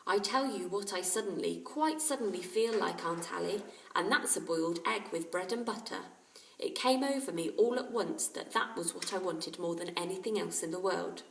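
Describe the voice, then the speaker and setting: A woman's voice: lowish voice, moderate pace, vocal fry. A woman voicing a kind, chatty boy who is fond of his pet, in a storybook reading. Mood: eager. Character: kind boy recovering from flu, fond of parrot. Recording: roomy, distant microphone.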